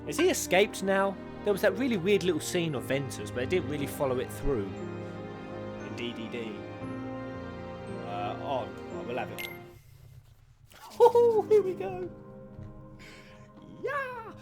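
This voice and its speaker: A man giving casual, playful and animated reaction commentary as a gaming YouTuber, with some crude humour. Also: on the high side, a little slow, long pauses, music in the background.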